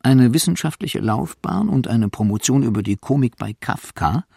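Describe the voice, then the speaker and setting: A male narrator reads a scripted radio documentary. His voice is slightly husky and gravelly, recorded very dry and close. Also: medium pitch, expressive, normal speed, a little mellow, no room sound.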